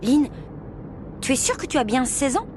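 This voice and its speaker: A woman playing a youthful, teasing aunt in an animated series, with a breezy, playful way of talking. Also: sits high, normal speed, background noise. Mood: breezy, curious.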